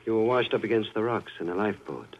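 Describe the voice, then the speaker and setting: An actor in 1940s radio drama playing a vengeful man who speaks with quiet, controlled menace. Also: lowish voice, expressive, quick pace. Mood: coldly calm. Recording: dull old radio broadcast with faint hiss.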